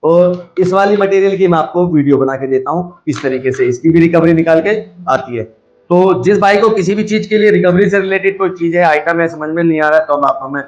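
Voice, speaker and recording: A man's voice: on the high side, a little fast, muffled recording. A man giving a hands-on demonstration, explaining step by step and repeating his points as he instructs. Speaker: video host, scrap recycler.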